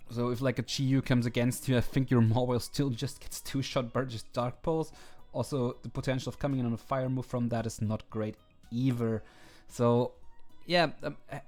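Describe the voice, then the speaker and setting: A man hosting a video, talking casually with many fillers and restarts, his voice slightly hoarse. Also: mid-range voice, darkish tone. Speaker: video host ranking teams. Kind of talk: casual commentary. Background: faint background music.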